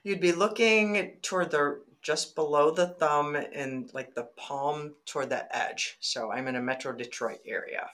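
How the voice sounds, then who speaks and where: A woman's voice: low-pitched, measured pace, few pauses. A woman from Michigan, a guest on a faith podcast, chatting earnestly and reading scripture aloud. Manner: earnest, animated, laughs while telling stories.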